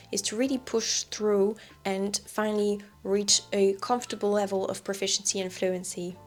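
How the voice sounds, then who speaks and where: Woman giving chatty language tips. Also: on the high side. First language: French. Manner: chatty, relaxed, with light laughs. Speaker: language teacher. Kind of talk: casual advice vlog.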